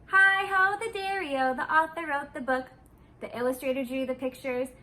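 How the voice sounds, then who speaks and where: A woman reading a children's storybook aloud, with deliberate, sing-song, expressive phrasing. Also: high voice.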